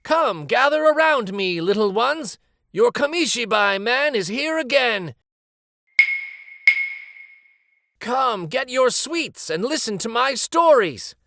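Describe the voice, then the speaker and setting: A man voicing a gentle, nostalgic old grandfather from a picture book, musing and a little hesitant. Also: very high voice, big pitch swings, measured pace, gravelly, heavy background noise, pitched above the performer's own voice, exaggerated voice. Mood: tender, calling out. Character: gentle, nostalgic old Japanese grandfather, retired street storyteller.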